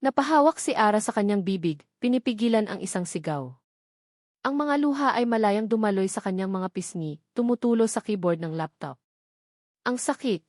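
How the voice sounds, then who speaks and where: Filipina woman reading a dramatic romance story, medium pitch, close dry recording. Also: darkish tone, no room sound. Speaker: story narrator.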